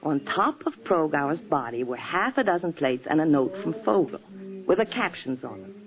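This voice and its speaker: An American actress playing a bright, reassuring sweetheart of a newspaperman in a 1940s radio drama. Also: deep voice, big pitch swings, moderate pace, a crowd in the background. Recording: tinny old radio broadcast.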